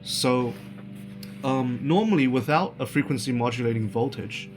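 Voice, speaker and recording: A man giving an informal, unscripted technical explanation as a tutorial presenter, halting and thinking aloud as he goes. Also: medium pitch, over music.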